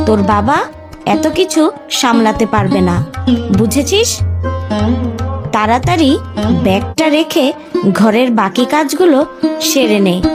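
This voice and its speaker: Cartoon stepmother, played by a woman: high voice, unhurried delivery, scheming and resentful, music underneath. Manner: scolding, grumbling. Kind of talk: Bengali cartoon voice acting.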